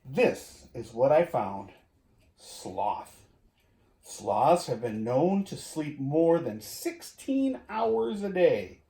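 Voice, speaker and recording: A man reading a children's storybook aloud in an expressive way, with drawn-out emphasis, as if talking to young listeners. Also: on the high side, vocal fry. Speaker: community education director reading to children. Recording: roomy echo.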